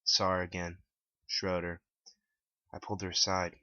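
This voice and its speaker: Deep-voiced man narrating, bright and forceful. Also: completely flat delivery, moderate pace, dry recording. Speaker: author reading his own story. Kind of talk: scripted dramatic narration. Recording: dull lo-fi, dry close recording.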